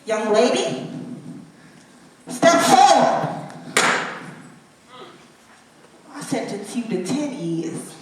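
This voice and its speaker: A woman on stage playing a gleefully cruel, bigoted judge, mocking and commanding in a drawn-out theatrical delivery. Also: on the high side, sing-song, darkish tone. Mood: stern, commanding. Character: gleefully cruel racist judge handing out harsh sentences. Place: large indoor stage venue. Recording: echoey theater, distant mic, hissy noise.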